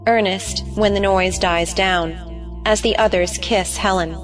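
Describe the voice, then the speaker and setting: A woman's voice: mid-range voice, fairly even intonation, measured pace, faint music behind. A woman giving scripted narration, reading out the stage directions of a play.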